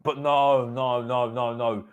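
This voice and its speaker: A man's voice: medium pitch, flat delivery, slow, clear, resonant tone. Emphatic man hosting a football fan stream. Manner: emphatic, repeats phrases for effect. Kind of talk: live fan commentary, casual opinion rant.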